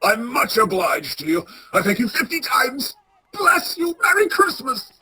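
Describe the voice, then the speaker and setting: A raspy old gentleman miser turned giddy and generous, acted by a woman for a radio play. The voice is high and forcefully bright, with drawn-out theatrical emphasis. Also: very animated, exaggerated voice, low-quality recording. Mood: gleeful, grateful.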